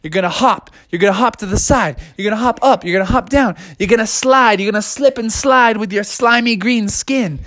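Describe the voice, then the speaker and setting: A man acts out a loyal coach and buddy from a storybook boxing tale. He gives slangy, encouraging instructions with lively pitch movement, recorded close and dry. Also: unhurried, no room sound. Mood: urgent coaching. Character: laid-back frog's boxing coach, a strategizing loyal buddy.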